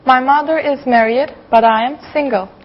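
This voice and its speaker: A woman's voice: high voice, normal speed. A woman playing a cooperative young English student, speaking careful simple sentences in a scripted classroom dialogue. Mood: cheerful, careful. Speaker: language student. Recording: slightly dull lo-fi audio.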